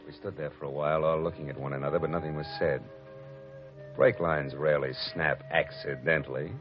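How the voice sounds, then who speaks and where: An actor playing a wry, wisecracking hard-boiled private detective who narrates a 1940s radio drama. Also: male voice, rumbling low, steady tone, fairly quick. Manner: dry, wisecracking, clipped. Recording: lo-fi old radio broadcast with music.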